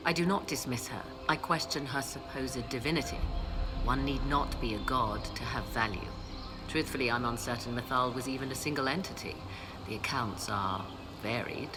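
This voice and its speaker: An actress playing a poised, learned and haughty sorceress in a video game, speaking in a measured, formal, theatrical way. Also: female voice, rumbling low. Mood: assured, skeptical. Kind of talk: video game dialogue acting. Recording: game music behind the voice.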